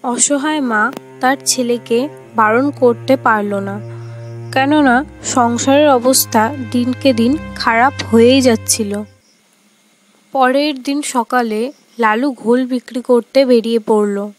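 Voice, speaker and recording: A woman's voice: fairly high pitch, slow, soft background music. A woman reading scripted storybook narration for a cartoon tale.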